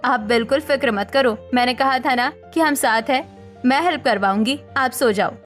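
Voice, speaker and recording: High-pitched caring young housewife voiced by a woman. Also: normal speed, soft background music, close to an everyday voice. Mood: reassuring. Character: young daughter-in-law, caring, helpful friend. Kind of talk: animated cartoon family drama.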